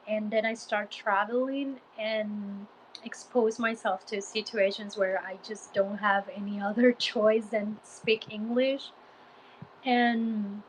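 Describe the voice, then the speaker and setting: A woman with a mild Guatemalan Spanish accent talks slowly into her phone, chatting with live-stream viewers. She hesitates often, restarting sentences and filling pauses. A faint ambient hum sits behind her voice. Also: fairly high pitch, low-quality recording. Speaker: Spanish teacher livestreaming. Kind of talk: casual live-stream chat answering viewers.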